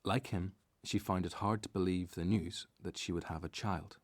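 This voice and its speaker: Very deep, dry-sounding male story narrator. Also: fairly even intonation, normal speed, dry recording. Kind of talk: scripted story narration for radio drama.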